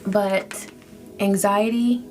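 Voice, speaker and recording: A woman's voice: mid-range voice, fairly even intonation, extremely slow, music in the background. A woman giving a casual spiritual tarot reading, reassuring and often checking in with "okay?". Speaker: tarot reader.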